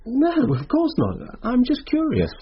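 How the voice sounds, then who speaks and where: An actor playing a schoolmaster who tells a mystery story in a radio drama, curious and uneasy. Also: male voice, extremely high pitch, wildly swooping pitch, unhurried, breathy edge, exaggerated voice. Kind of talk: radio drama narration and dialogue. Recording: slightly dull radio recording.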